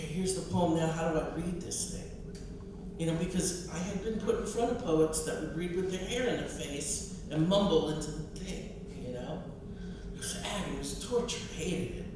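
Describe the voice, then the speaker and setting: A woman poet and professor speaking expressively and emphatically at a live reading, using repetition for effect. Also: low-pitched. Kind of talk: live poetry reading and audience talk. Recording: distant, very echoey, noisy room.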